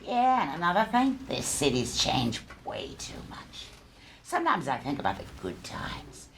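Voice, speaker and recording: A woman playing a blunt, eccentric old widow who works in a restaurant, nostalgic and unhurried, at a live comedy table read. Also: deep voice, wildly swooping pitch, vocal fry, fairly bright tone, exaggerated voice. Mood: wistful, grumbling. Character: blunt, crazy old widowed female restaurant worker Gertrude. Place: theater stage, live show. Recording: live stage recording, faint background noise.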